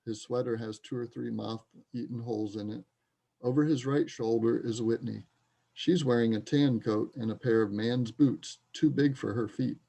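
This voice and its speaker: A man reads stage directions for a play in scripted narration. His voice is mid-pitched and mellow, with a gravelly creak running through it. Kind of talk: scripted narration in reader's theater.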